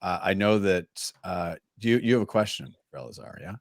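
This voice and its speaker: A man's voice: sits low, sing-song, a little fast, dry recording, studio quality. A man hosting a live video call, chatty and loose, introducing people with frequent restarts. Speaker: host. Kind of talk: casual livestream hosting.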